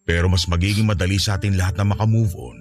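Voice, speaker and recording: A man's voice: deep voice, steady tone, fast, soft background music, natural voice. A man playing a patient husband and grieving father, earnest and reassuring, in a radio-style drama. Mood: consoling.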